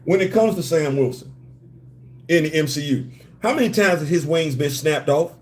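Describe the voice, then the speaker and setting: A man's voice: on the high side, expressive, normal speed. A man from New York with a slight Southern-tinged accent, hosting a live stream and talking emphatically in slangy, repetitive bursts while greeting viewers. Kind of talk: animated live-stream commentary.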